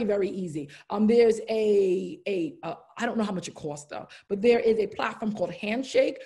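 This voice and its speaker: A woman in her thirties, raised in the United States, leading an online diversity training and answering chat questions in an earnest, encouraging way. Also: medium pitch. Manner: earnest, warm, repeats "wonderful" and "right?". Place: indoors, room with brick wall. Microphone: laptop webcam, video call.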